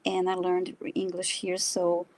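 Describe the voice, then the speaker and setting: A woman from Brazil, a native Portuguese speaker with a Brazilian accent, chatting casually about herself in a halting, self-deprecating way. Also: on the low side, monotone, a little mellow. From São Paulo, Brazil. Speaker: guest, animal communicator and energy healer. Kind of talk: casual personal storytelling in a live chat.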